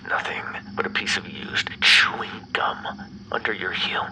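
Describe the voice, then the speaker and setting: A man playing an obsessive, bitter, menacing ex-lover in an ASMR roleplay, speaking in a breathy, brooding half-whisper. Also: bright, forceful tone, poor audio. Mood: bitter, wounded. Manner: slow, brooding, theatrically menacing. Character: obsessive, bitter yandere male vampire ex-lover, menacing captor.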